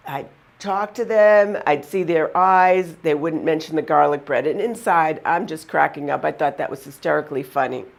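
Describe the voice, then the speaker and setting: Woman, Boston accent, slightly low voice, chatty, amused storyteller. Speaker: vlogger. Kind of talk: casual storytelling to camera.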